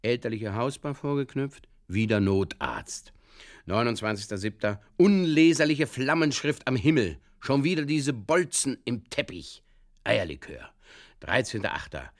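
A man playing a despairing drinker who reads out absurd diary entries in terse, deadpan fragments, in a comic audio drama. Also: medium pitch, measured pace. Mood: bleak, weary.

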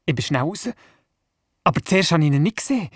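A man's voice: on the high side, lively intonation, fairly quick, dry recording, studio quality. An actor playing a curious, eager young grandson who tells his story earnestly in a children's radio play, with a Swiss German accent. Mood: excited, proud. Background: music.

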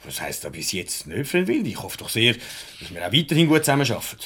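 An actor with a Swiss German accent playing an awkward, patronising middle-aged married boss in a radio comedy, hemming and ingratiating. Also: male voice, medium pitch, huge pitch swings, fast talker, a bit bright, husky, heavily acted. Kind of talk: light radio comedy play acting.

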